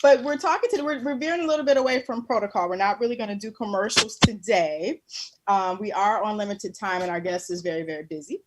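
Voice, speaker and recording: A woman's voice: mid-range voice, fast, a little hiss or hum. A woman co-hosting a casual live talk stream over a video call, bubbly and laughing often. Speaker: talk show co-host. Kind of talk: casual livestream chat.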